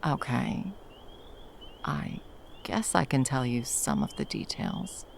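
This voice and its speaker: A woman playing a protective, tender monster hunter in an intimate ASMR roleplay, speaking haltingly and breathily, often dropping toward a whisper. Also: rumbling low, vocal fry, slight background noise, exaggerated voice. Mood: reluctant, caring. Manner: halting, coaxing, speaking to a beloved. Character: wandering female monster hunter, fiercely protective, tender lover.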